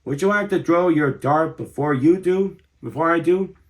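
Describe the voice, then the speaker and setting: A man voicing a gentle, considerate young male raccoon in a children's storybook. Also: on the high side, normal speed, close to an everyday voice.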